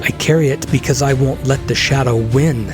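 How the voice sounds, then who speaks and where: A man voicing a brave young village hero, steady and earnest, in a fantasy audio drama. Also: mid-range voice, normal speed, natural voice. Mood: steady resolve. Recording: loud dramatic music behind.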